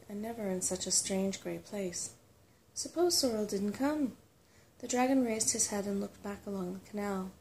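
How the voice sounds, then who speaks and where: A woman calmly reading a storybook aloud as unhurried ASMR narration. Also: medium pitch, a little hiss or hum, poor audio. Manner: calm, unhurried.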